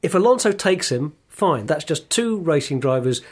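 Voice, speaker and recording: A man's voice: on the high side, very animated, moderate pace. A man co-hosting a casual motor racing chat, reasoning aloud thoughtfully with hesitant restarts. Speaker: podcast co-host.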